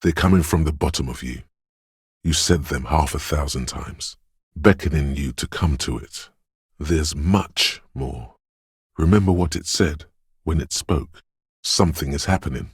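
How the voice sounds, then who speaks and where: A man voicing a brooding, cryptic inner voice of intuition in a video game, speaking in a hushed, deliberate, portentous way. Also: extremely low pitch, husky, dry recording, studio quality, full character voice. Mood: mysterious, brooding. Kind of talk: scripted video game narration.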